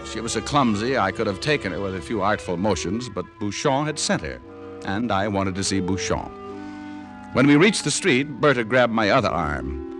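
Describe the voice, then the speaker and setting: An actor playing a cool, wisecracking private detective with an American accent and wry wit, in old-time radio drama style. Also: male voice, fairly low pitch, animated, normal speed, over music, natural voice. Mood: wry, narrating. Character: wisecracking, cool male private detective narrating his case. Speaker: lead actor and narrator.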